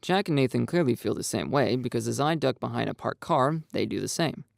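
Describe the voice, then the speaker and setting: Expressive, gravelly man narrating a story. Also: mid-range voice, a little fast, dry recording, studio quality. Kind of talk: first-person narration of a visual novel.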